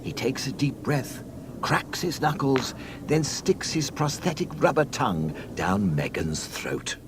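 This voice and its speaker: A man narrating a scripted read-along storybook in a mock-solemn, deadpan comic manner. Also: medium pitch, measured pace.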